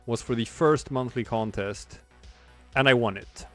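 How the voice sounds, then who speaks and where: A man's voice: mid-range voice, measured pace, soft background music. Rambling man chatting on a stream. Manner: rambling and reflective, many restarts. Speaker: streamer and comic artist. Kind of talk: casual livestream chat while drawing.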